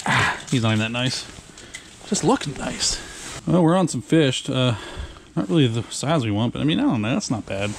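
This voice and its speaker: A man in his thirties filming his ice-fishing trip in a tent on a frozen lake, talking casually and excitedly with plenty of slang and swearing, recorded on a handheld action camera. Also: medium pitch, moderate pace, creaky. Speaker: fishing vlogger. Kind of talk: casual outdoor vlog. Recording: noisy outdoor camera audio.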